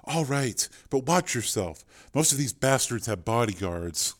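A man's voice: medium pitch, lively intonation, a little slow, close to an everyday voice. A man voicing Raven, a tough, weary, stubborn woman who talks in terse slang in an audiobook. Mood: wary.

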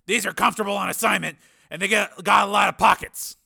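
A man's voice: high-pitched, steady tone, fast, vocal fry, pushed and bright, shouting, voice pushed up, exaggerated voice. A man voicing a street-talking, easygoing civilian cameraman in an audiobook, with a casual, protesting manner. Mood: casual, sheepish.

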